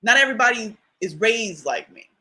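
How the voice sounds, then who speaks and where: A woman with a deep voice delivers a motivational talk in a casual, conversational way. Her delivery is bright and forceful, and her pitch swings widely as she leans on key words. She often repeats a phrase for emphasis and checks in with her listeners. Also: animated, a little fast, low-quality recording. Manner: conversational, often asks "you know what I mean?". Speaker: motivational speaker.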